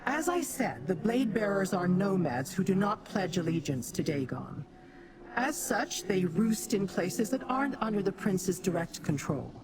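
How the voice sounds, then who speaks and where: A woman voicing a proud female demon warrior in a fantasy game, with a formal, imperious, deliberate manner. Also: medium pitch, sing-song. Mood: explanatory, resolute. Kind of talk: fantasy game quest dialogue. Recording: clear background noise, game ambience.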